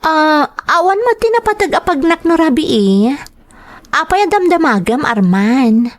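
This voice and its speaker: A woman's voice: high-pitched, animated, unhurried. A woman with an Ilocano accent playing Maricel's part, a coy, ambitious young mistress in a radio drama. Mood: coy, probing.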